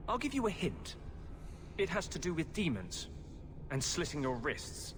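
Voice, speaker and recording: A bitter, disapproving male mage speaks bluntly in a somewhat high man's voice with swooping intonation. Clear ambient noise sits behind this video game dialogue. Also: sing-song, measured pace. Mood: bitter sarcasm. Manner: scornful, blunt. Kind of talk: video game cutscene acting.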